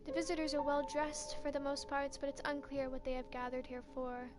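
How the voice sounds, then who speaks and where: High-voiced woman reading a story game. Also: monotone, fairly quick. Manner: second-person narration, with light asides. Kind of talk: reading game text aloud. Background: loud game music.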